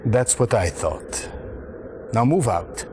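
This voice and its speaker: Stern officer with shifting pitch. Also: male voice, expressive. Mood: coldly menacing. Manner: measured, deliberate, stern. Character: cold, authoritative male senior military officer. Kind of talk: dramatic video game cutscene acting. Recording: faint background noise.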